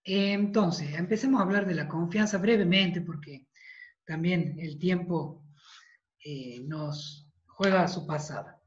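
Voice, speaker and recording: A man in his thirties with a Tucumán Argentine accent, a priest giving an emphatic devotional talk over a video call, repeating phrases for weight and clearing a tired throat. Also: high-pitched, unhurried. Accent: Tucumán Argentine Spanish. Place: study with bookshelves, indoors. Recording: roomy, dull video-call audio.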